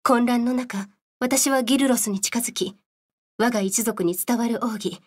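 A solemn scientist narrating a game cutscene, voiced by a woman. Her voice is fairly high and husky. She recounts a grim past in serious, measured storytelling. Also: a little mellow, close to an everyday voice.

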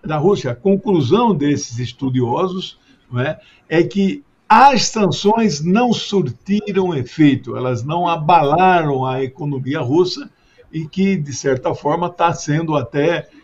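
A husky Brazilian man in his sixties talks politics over a dull, lo-fi video call. His voice sits on the high side, and he argues emphatically as a guest analyst. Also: measured pace, darkish tone. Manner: emphatic, with frequent tag questions. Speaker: political commentator, sociologist guest. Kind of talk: opinionated political analysis in an interview. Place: book-lined home study, indoors. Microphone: desk microphone on a video call.

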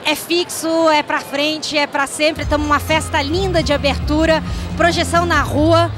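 A woman's voice: high-pitched, flat delivery, normal speed, a crowd in the background. A woman in a documentary interview, speaking upbeat about an opening night. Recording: clear music behind.

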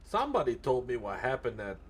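A man's voice: medium pitch, wildly swooping pitch, a little fast, slightly rough. A man chatting casually in character as a paramedic in online game role-play. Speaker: live streamer role-playing as a paramedic. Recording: game sirens and vehicle noise behind.

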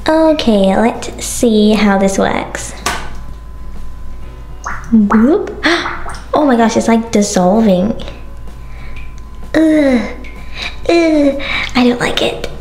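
A woman's voice: fairly high pitch, expressive, extremely slow, long pauses, a little mellow, slight background noise. A woman, congested from a cold, chatting bubbly and self-mockingly through a toy unboxing vlog. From Australia. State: stuffy nose from a cold. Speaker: toy reviewer. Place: indoors at a tabletop toy set.

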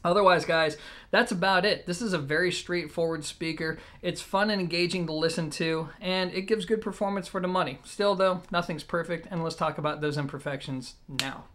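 A man's voice: a little high, brisk, a bit bright. A man in his thirties giving a casual product review, talking directly to viewers in a conversational way. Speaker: audio gear reviewer and host. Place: indoor room at home, seated.

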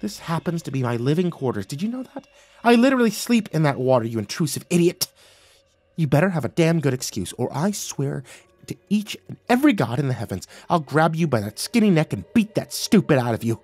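A man's voice: medium pitch, expressive, a little fast, creaky, no room sound. A man voicing an irritable, imperious female water nymph with folksy sass in an audiobook, scolding and giving orders. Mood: angry, scolding. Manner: scolding, bossy, commanding. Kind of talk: audiobook character acting.

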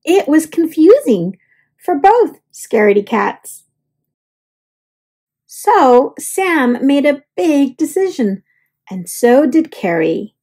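Very slow, high-voiced woman reading a storybook. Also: sing-song, a little mellow. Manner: deliberate, dramatic pauses for young listeners. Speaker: storyteller reading a picture book aloud. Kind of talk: expressive children's read-aloud narration.

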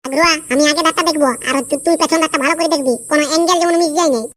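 A man's voice: very high voice, fairly even intonation, racing, fairly bright tone. A man voicing a brash, crude, boastful young village bachelor in a cartoon comedy, bickering and cursing in a Purulia Bengali accent. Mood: bossy, cocky. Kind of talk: rustic cartoon comedy acting.

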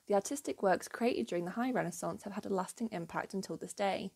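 A woman narrates a history lesson in a clear, measured way. Her voice is mellow and warm. She tells the story with quiet enthusiasm and moderate pitch. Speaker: history channel host. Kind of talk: scripted educational narration.

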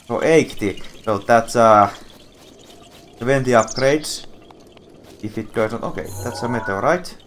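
A man streaming a game, thinking aloud in slow, musing casual commentary while he is losing his voice. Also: medium pitch, a little hiss or hum. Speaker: gameplay streamer. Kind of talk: casual let's-play commentary.

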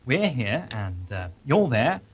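A man's voice: medium pitch, big pitch swings, slow. A man playing a flustered, babbling underling in a sci-fi audio drama, rambling and repeating himself. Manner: fussy, rambling, repetitive. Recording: noisy amateur audio drama tape.

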